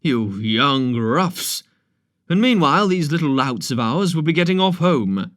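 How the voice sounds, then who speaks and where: A man reading aloud, voicing a brisk, bossy village doctor who talks in a loud, brusque, blustering way. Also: on the high side, big pitch swings, no echo, clean studio sound.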